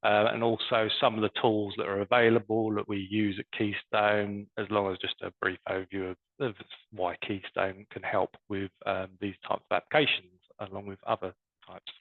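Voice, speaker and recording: A man's voice: fairly low pitch, flat delivery, normal speed, creaky. A man giving an informal business presentation as a team leader on an online webinar. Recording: muffled phone-like online call audio.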